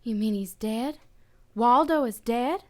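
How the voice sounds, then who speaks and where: A woman's voice: on the high side, slow pace. A woman playing a proud, defensive wife with a secret in a 1940s-style hardboiled radio drama. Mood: shaken.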